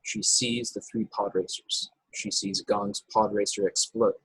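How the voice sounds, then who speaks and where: A man with a husky voice reading stage directions as narrator of a script table read over a video call. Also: fairly low pitch, little pitch movement, measured pace, dry recording, rough recording. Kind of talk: scripted narration with occasional stumbles.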